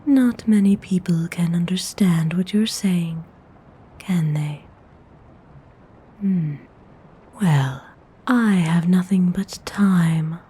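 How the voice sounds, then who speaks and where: A woman voicing a gentle, courteous vampire noblewoman in an ASMR roleplay, whispering with breathy, husky, tender formality. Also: fairly low pitch, exaggerated voice. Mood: curious, soft. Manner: slow, hushed, tenderly formal.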